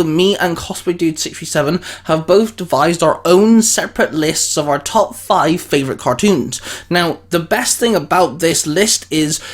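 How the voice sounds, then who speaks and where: A man with a slightly high voice speaks as the host of an online show. His delivery is casual and conversational. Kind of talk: casual chat about cartoons.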